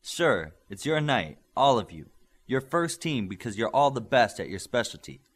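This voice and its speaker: A man's voice: mid-range voice, big pitch swings, moderate pace. A man reading as a confident male coach. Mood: assured.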